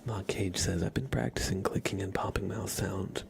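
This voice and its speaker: A man whispering softly and breathily as he chats casually with viewers on an ASMR livestream. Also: deep voice, fairly even intonation, normal speed, vocal fry, heavy background noise. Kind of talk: ASMR, chatting softly with live chat.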